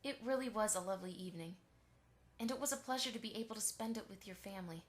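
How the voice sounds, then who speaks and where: A woman's voice: medium pitch, quick pace, faint music behind, natural voice. A woman playing a polite, chatty pony mother who keeps the peace in an audio drama.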